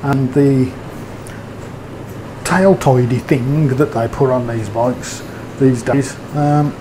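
A man's voice: medium pitch, slow pace, noisy background. A man giving a casual, rambling motorbike review, with fillers and hesitations. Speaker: motovlogger.

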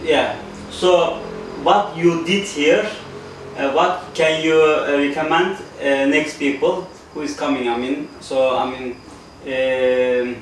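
A middle-aged Turkish man with a Turkish accent, hosting a casual interview in halting English with many fillers. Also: fairly high pitch, fairly even intonation, a little hiss or hum. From Turkey. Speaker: host, guesthouse owner. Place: living room of a guesthouse, indoors. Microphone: far camera. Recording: echoey room from far off.

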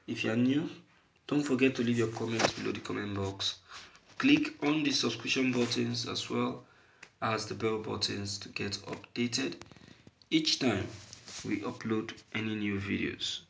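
Male online commentator, mid-pitched with a creaky edge, emphatic and halting, opinionated take on the news. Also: fairly bright tone, slight background noise, low-quality recording. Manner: emphatic, repeating phrases for stress.

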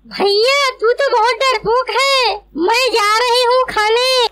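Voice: very high-pitched, steady tone, takes it slowly. A performer voicing a bold, teasing little girl in a cartoon, bossy and coaxing. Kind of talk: cartoon dialogue.

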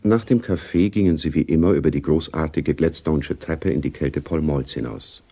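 A man narrating a literary radio play in a measured, matter-of-fact way. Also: extremely low pitch, vocal fry, warm tone, husky, exaggerated voice.

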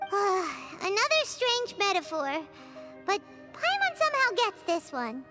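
Game fairy sidekick: tiny, childlike, squeaky, extremely high and very animated, loud music underneath. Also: female voice, slow, exaggerated voice. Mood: exasperated. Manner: exclamatory, refers to herself by name. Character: small excitable childlike fairy companion, female, chatty and anxious. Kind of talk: video game character acting.

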